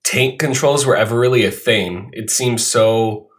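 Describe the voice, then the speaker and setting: Nasal man chatting on a gaming podcast. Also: medium pitch. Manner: halting, restarts often. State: nasal from a cold, recovering. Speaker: podcast co-host. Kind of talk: casual gaming podcast chat.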